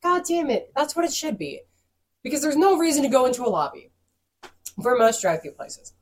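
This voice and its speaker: A woman co-hosting a casual podcast, telling a profane, ranting story while her voice is stuffed up and going hoarse. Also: high-pitched, big pitch swings, a little fast, a little hiss or hum. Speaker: podcast co-host.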